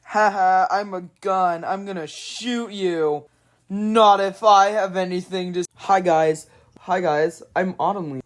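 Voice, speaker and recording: A woman's voice: medium pitch, unhurried. A woman performing short, exclamatory character lines in the style of an amateur animation voice reel. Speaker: amateur voice actor.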